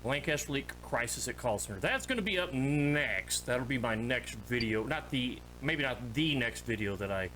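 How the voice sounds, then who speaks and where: A man with a bright, forceful voice streams a horror game in a casual style. His pitch swings widely as he reads on-screen text and drops in offhand jokes. Faint noise sits behind the voice. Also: lively intonation, moderate pace, few pauses, poor audio. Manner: joking, offhand asides. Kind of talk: casual gaming commentary, reading on-screen text aloud.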